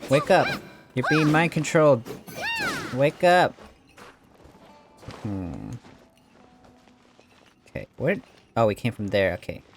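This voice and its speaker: A man's voice: medium pitch, very animated, takes it slowly, vocal fry, pauses often. A man commenting casually on a video game as he plays, chatty and reactive. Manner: chatty, reactive, talks to the screen. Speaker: gaming streamer. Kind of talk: let's play commentary. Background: game music.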